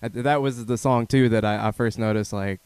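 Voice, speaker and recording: A man hosting a casual interview, relaxed and encouraging, with a lot of "like" in his speech. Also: on the low side, moderate pace, smooth, no room sound. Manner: relaxed, affirming, uses "like" and "man". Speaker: interview host.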